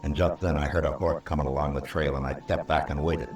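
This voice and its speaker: A man playing a stern, weary Old West lawman in a radio western, speaking in measured, terse lines with dry sarcasm. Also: rumbling low, fairly even intonation, a little mellow, faint music behind. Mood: somber narrating. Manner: measured, terse, dryly sardonic. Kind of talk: old-time radio western acting and narration. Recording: distant, roomy old radio recording.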